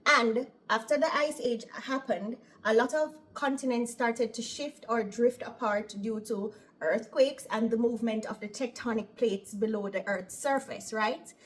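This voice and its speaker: Chatty Jamaican woman, fairly high voice. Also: a little slow, few pauses, poor audio. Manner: chatty, opinionated, tags lines with "right?". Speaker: operating room nurse. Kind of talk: casual vlog storytelling.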